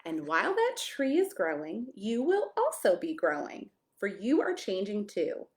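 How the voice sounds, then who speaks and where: Very animated woman reading to children. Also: medium pitch, a little slow. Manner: expressive, addresses young children with questions and exclamations. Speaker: pastor reading a children's story. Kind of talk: children's picture-book read-aloud with friendly asides.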